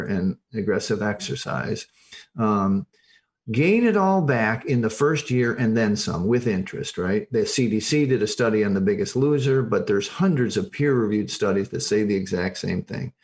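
A man's voice: medium pitch, darkish tone. A middle-aged or older man speaking as an expert podcast guest, explaining informally and emphatically, often restarting and repeating phrases. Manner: emphatic, halting and self-correcting, repeats phrases. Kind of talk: informal explanatory interview talk.

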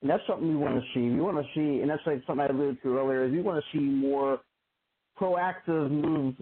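A man's voice: fairly high pitch, quick pace. A man hosting a casual discussion, talking conversationally with restarts and frequent "right?". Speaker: host. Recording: narrow phone-like audio.

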